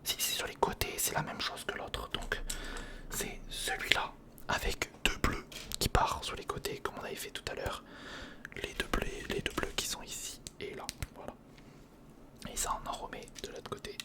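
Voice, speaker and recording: A man whispering informal ASMR commentary close to the microphone, murmuring step by step to himself and the viewer as he works. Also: moderate pace. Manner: murmured, informal running self-talk addressed to the viewer. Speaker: ASMR video creator. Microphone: close recorder microphone.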